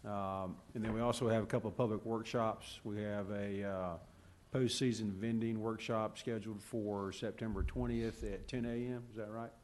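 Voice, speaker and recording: A man on county staff briefing a public committee meeting in a steady, procedural way. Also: on the low side, moderate pace, few pauses. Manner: steady, procedural, many fillers.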